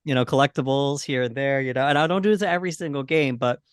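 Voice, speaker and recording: A man with a medium-pitched voice talks as a podcast co-host. His delivery is conversational and somewhat halting as he works through his thoughts. The sound is dry, with very little room reflection. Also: fairly even intonation, smooth, no echo. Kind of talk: casual discussion of games and movies.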